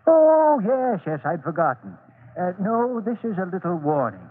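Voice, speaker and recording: High, stammering, shifty old man. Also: sing-song, moderate pace, a little hiss or hum. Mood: genial, sly. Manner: hesitant, stammering, fussy. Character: curious old antique dealer, shifty, flustered, genial. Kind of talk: old radio serial acting. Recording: tinny vintage radio recording.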